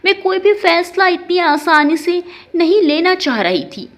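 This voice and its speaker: A woman narrating an emotional first-person story in an expressive, dramatic way. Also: very high-pitched, measured pace.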